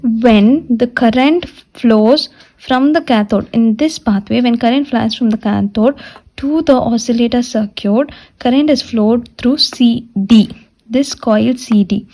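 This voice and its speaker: A woman giving a step-by-step technical lecture, restating her points deliberately as she explains. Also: fairly high pitch, dark tone. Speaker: physiotherapy lecturer.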